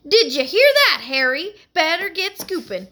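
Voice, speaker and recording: A woman's voice: high voice, lively intonation, moderate pace, pushed and bright, voice pushed up. A woman voicing a gum-chomping shopkeeper lady with a New York accent and a pushy, wisecracking manner. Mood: brassy.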